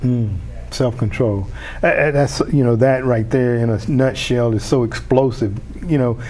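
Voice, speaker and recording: A man's voice: medium pitch, moderate pace, a little mellow. A man hosting a community TV interview, chatty and enthusiastic, often restarting his sentences. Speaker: host.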